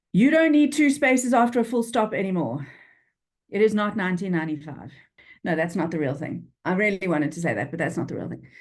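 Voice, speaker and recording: A woman in her forties with a Durban South African accent, chatting frankly in an interview over a video call, often restarting her sentences. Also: lowish voice. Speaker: freelance copywriter, guest. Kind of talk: casual interview chat.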